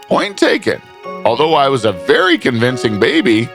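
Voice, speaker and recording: A man's voice: medium pitch, huge pitch swings, normal speed, music in the background. A man voicing a vain, sheepish giant husband in a storybook drama, self-satisfied but grudgingly grateful. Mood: vain, rueful.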